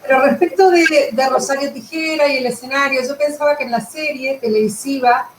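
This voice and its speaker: A woman's voice: high-pitched, brisk. A woman conducting a conversational literary interview, phrasing her thoughts in a reflective, tentative way. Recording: roomy echo with clear background noise.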